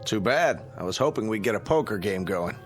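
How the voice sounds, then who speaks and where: Low-voiced, blunt American man. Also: lively intonation, fairly quick, soft background music. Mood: nosy. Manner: folksy, blunt. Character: blunt, chatty American government man travelling by sea. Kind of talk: radio drama acting.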